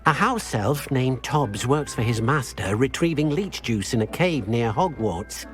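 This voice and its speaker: An actor playing a timid, kindly house-elf servant in a video game, hesitant and deferential, speaking of himself in the third person. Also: male voice, mid-range voice, lively intonation, a little slow, lightly acted. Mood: hesitant, earnest. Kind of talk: video game character dialogue. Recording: music behind the voice.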